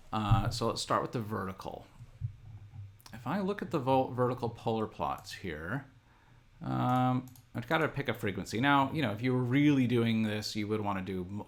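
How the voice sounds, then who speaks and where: A middle-aged man giving an unscripted tutorial, thinking aloud in a halting, methodical way as he talks through each step. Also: on the low side. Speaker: instructor. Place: indoor room at a desk, computer screen recording.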